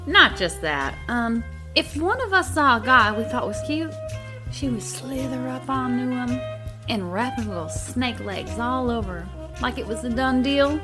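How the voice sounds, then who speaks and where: Sassy, gossipy older waitress played by a woman, highish lively voice, audio drama over music. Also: measured pace. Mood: gossipy, amused.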